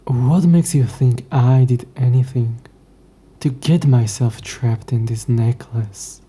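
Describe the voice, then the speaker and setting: A man playing a haughty, possessive ancient demon in a scripted audio roleplay, with a hushed, breathy voice and dry, condescending, formal speech. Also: medium pitch, takes it slowly. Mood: indignant.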